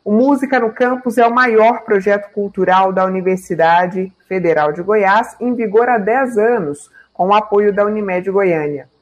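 A woman TV presenter who reads a scripted introduction in a clear, measured way, then interviews a guest. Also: mid-range voice, poor audio.

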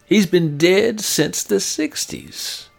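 A jaded veteran late-night DJ played by a man in a radio drama. He has a gravelly male voice and talks in casual, teasing, stammering asides, as if he has seen it all. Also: high-pitched, animated, slow pace, soft background music, heavily acted. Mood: conspiratorial. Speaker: actor in an audio drama. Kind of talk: naturalistic radio drama acting.

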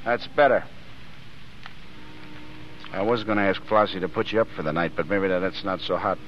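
An actor playing a tough, wisecracking, footloose American drifter with gruff slang, in 1930s radio style. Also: male voice, sits low, normal speed, natural voice. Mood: brusque, cynical. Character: tough, footloose, wisecracking Depression drifter with hidden soft heart. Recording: muffled, hissy old radio recording.

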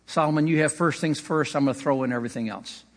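A man's voice: a little high, fast. A man preaching a sermon as pastor, conversational, with occasional slips and light laughter. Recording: long hall reverb.

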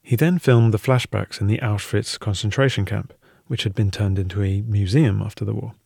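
Husky, gravelly male documentary narrator. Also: fairly low pitch, steady tone, moderate pace, a little mellow, no room sound, clean studio sound.

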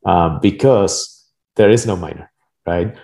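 Low, mellow-voiced man from Argentina. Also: a little slow, creaky. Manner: informal, tentative phrasing with frequent "like" and "right?". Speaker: interview guest, project creator. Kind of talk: casual conversational interview.

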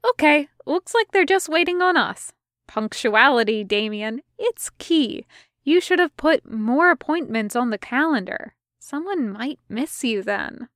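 A woman's voice: high voice, expressive, a little slow, a bit bright, dry recording, studio quality, pitched above the performer's own voice. A woman voicing a breezy female covert agent and kidnapper in an audio story, sardonic, condescending and sweetly chatty. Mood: smug, chatty.

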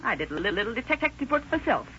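A woman's voice: a little high, sing-song, fast talker, sharp tone. A woman playing a fretful, self-righteous middle-aged widowed mother in a 1930s radio drama. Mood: triumphant. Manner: prim, wordy, self-righteous. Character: fretful, indignant widowed mother of teenage daughters. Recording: scratchy muffled old-time radio recording.

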